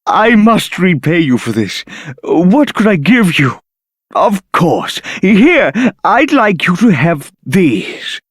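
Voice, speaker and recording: A man's voice: high voice, very animated, takes it slowly, husky, dry recording, studio quality, heavily acted. A man playing a fussy, self-important official in a video game, theatrical and effusive. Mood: grateful, earnest. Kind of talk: video game character acting.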